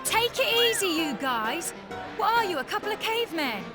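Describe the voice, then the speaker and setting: High-voiced, feisty young sorceress. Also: female voice, very animated, normal speed, music in the background. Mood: irritated, scornful. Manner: sassy, direct. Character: feisty, confident young woman mage, blunt and sharp-tongued. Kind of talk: animated video game voice acting.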